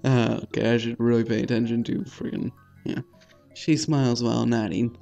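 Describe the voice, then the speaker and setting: A man's voice: mid-range voice, little pitch movement, normal speed, creaky, mellow, dull audio. A man hosting a let's play, reading a visual novel aloud casually, stumbling over names and swearing offhandedly in his asides. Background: music.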